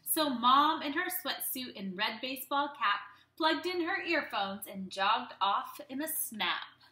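A young woman teacher reading a storybook aloud to young children in an animated, expressive way, speaking to them directly. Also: sits high, unhurried. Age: young adult. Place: small room at home. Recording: roomy, echoey home webcam sound.

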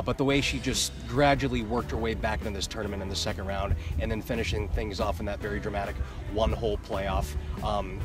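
A man's voice: on the low side, far from the mic. A man interviewing a coach for a college sports network, with upbeat, formal broadcaster phrasing. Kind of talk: scripted sports interview questions. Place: outdoors on a golf course.

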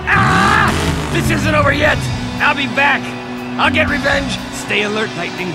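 An actor playing a scheming, boastful race car villain in a video game, taunting and sneering like a sore loser. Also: male voice, extremely high pitch, sing-song, unhurried, loud music behind the voice, heavily acted. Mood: bitter, vengeful.

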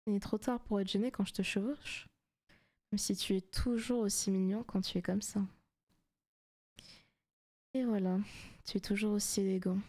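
Soft, teasing demon girlfriend acted by a woman. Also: medium pitch. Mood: coaxing, teasing. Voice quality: soft, often breaking into whispers. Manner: teasing, coaxing, possessive. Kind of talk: intimate ASMR roleplay.

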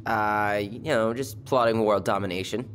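A man's voice: fairly low pitch, steady tone, moderate pace, fairly bright tone, slight background noise, natural voice. A man playing a guarded young twin brother with dry humor and a casual, slightly impatient manner in a video game. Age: young adult. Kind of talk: naturalistic video game dialogue acting.

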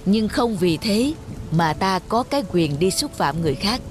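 A woman voicing a patient, wise village father and teacher in a moral cartoon, speaking in a measured, instructive way. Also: mid-range voice, lively intonation, lightly acted. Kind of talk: cartoon dialogue, moral storytelling. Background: music.

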